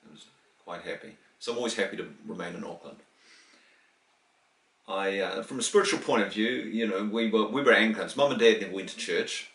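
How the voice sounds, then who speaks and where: A New Zealand man in his late fifties talks through his past in a loose, chatty way, often restarting his sentences. His voice sits fairly low. He is recorded in an echoey room a little away from the mic. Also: fairly bright tone, recorded from a distance. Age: 50s. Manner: rambling, with restarts and chuckles. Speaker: interviewee. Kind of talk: casual interview answers. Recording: roomy, voice from the mic.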